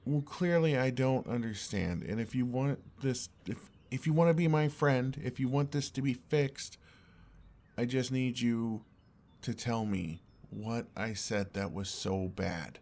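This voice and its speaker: A young man playing an exasperated, earnest and oblivious best friend in an intimate audio roleplay, speaking in a halting, conversational way. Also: medium pitch, darkish tone, close to an everyday voice. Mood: earnest, pleading.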